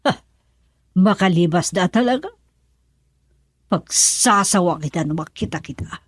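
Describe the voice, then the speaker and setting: A fretting angry mom in a radio drama, husky voice that swings up and down. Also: female voice, fairly low pitch, no room sound, heavily acted. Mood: agitated. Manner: scolding, agitated. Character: angry, worried mother of a runaway grown daughter. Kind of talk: Ilocano radio drama acting.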